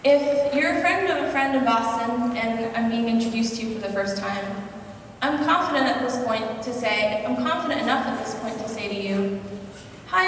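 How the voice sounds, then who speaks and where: A young woman with an American accent tells a story to a conference audience, her voice sitting a little high. She acts out lines of dialogue as she goes. The recording is distant and very echoey, with a long hall reverb and clear background noise. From Singapore. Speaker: conference speaker. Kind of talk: personal storytelling talk.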